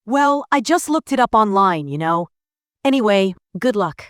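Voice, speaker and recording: A woman's voice: medium pitch, expressive, moderate pace, dry recording, studio quality, natural voice. A woman playing a confident, teasing teenage girl who talks frankly and playfully to a friend in an anime-style dubbed story. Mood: frank, encouraging.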